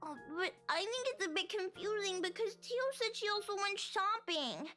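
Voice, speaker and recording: A woman's voice: extremely high pitch, lively intonation, moderate pace, sharp tone, pitched above the performer's own voice, full character voice. A woman voicing a chatty, trusting little girl in a visual novel game, with a childlike, earnest manner. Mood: innocent, chatty. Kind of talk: voiced visual novel character. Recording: music playing underneath.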